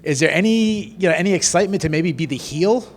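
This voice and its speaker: A man's voice: sits high, lively intonation, brisk. A man reporter asking direct questions, often opening with "uh". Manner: direct, begins with "uh". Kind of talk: press questions. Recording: echoey hall.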